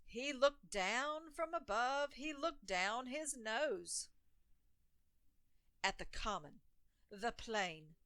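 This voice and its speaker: A woman's voice: fairly high pitch, lively intonation, very slow, long pauses, pushed and bright, no room sound. A woman reading a rhyming children's picture book aloud in a theatrical, emphatic storytelling manner.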